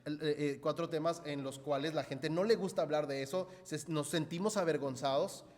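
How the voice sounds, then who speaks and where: A man with a slightly high voice delivers a sermon in a big, echoing hall. He explains things conversationally, like a pastor talking with his congregation. He repeats his points and often adds a short questioning tag. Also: steady tone, normal speed, a little mellow. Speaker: pastor preaching to a church congregation. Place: church hall. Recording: echoey hall with long reverb.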